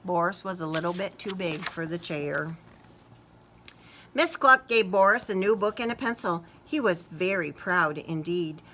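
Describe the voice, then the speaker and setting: A woman reading a picture book aloud to young children expressively, pausing to ask them questions. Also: lowish voice, expressive, bright, forceful tone, a little hiss or hum. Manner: talks to young listeners, asking questions. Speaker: children's story reader.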